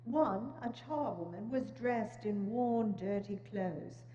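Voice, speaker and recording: A woman's voice: medium pitch, slow pace, dark tone. A woman reading scripted narration for a dramatic reading of a story. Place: large church hall, indoors. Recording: muffled echoey church hall, humming noise.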